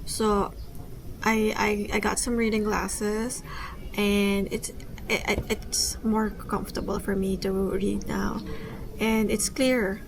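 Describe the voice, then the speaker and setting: A woman's voice: medium pitch, little pitch movement, takes it slowly, vocal fry, background noise. A woman from the Philippines chatting hesitantly in a vlog, repeating words as she goes. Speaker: vlog co-host. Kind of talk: casual chat.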